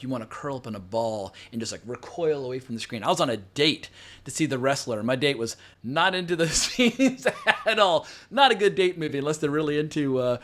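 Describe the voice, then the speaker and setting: A man's voice: mid-range voice, fairly bright tone. A middle-aged man giving a casual, enthusiastic film review, rambling at length and swearing freely for emphasis. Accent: non-local, not New York. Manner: long-winded, chatty, with frequent fillers.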